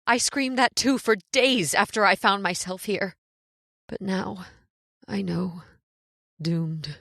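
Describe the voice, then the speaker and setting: A woman plays a doomed, fatalistic castaway in a vintage radio horror play. She speaks solemnly and portentously at a middling pitch, as one who bears dreadful truths. The sound is close and dry. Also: takes it slowly, vocal fry, a bit bright, no echo, heavily acted. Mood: resigned, doomed. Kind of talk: old-time radio horror acting.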